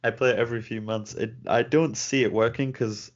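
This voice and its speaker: A man's voice: medium pitch, fairly even intonation, brisk. A man co-hosting a casual talk show, explaining his views earnestly and laughing often. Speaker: podcast co-host. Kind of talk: casual chat. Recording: dull lo-fi recording.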